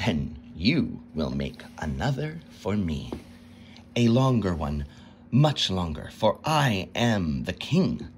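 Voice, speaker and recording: A man reading a storybook aloud and voicing a greedy, boastful king who snaps and barks orders loudly. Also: low-pitched, sing-song, takes it slowly, a little hiss or hum, full character voice. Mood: demanding. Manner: loud, commanding, snapping.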